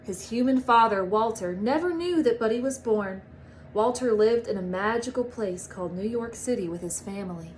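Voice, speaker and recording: A woman reading a story aloud to children like a teacher, with animated, drawn-out storybook phrasing. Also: a little high, slight background noise. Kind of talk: expressive read-aloud storytelling.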